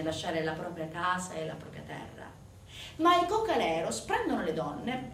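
A woman's voice: medium pitch, fairly bright tone. A woman delivering a theatrical narrative monologue to an audience, emphatic and addressing listeners directly with rhetorical questions. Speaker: stage actress performing a monologue. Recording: roomy, distant mic, faint background noise.

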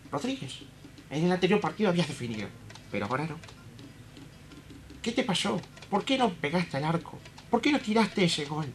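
A man's voice: a little high, a bit bright, a little hiss or hum. A man with an Argentine accent giving comic football commentary as a guest analyst, dramatic and repetitive. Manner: dramatic rhetorical questions, repetitive.

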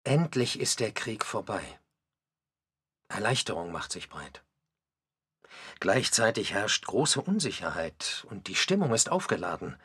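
Male narrator for radio drama-documentary: lowish voice, husky edge, strongly varied melody. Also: sing-song, a little slow, pauses often, a bit bright.

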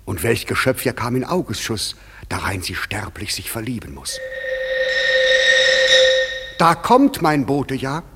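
An actor playing a commanding fairy king who sometimes speaks in a hush, in a classic German radio play. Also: medium pitch, big pitch swings, unhurried, long pauses, heavy background noise. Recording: long reverb, faint clicks.

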